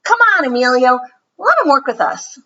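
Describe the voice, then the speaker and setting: A woman's voice: high voice, big pitch swings, moderate pace, pushed and bright, dull audio, pitched above the performer's own voice, exaggerated voice. A woman voicing a friendly, kind young schoolboy in a storybook, upbeat, casual and encouraging.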